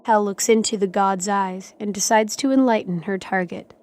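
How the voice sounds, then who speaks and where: A woman's voice: medium pitch, steady tone, moderate pace, darkish tone. A woman reading scripted dramatic narration as the narrator of an audio drama.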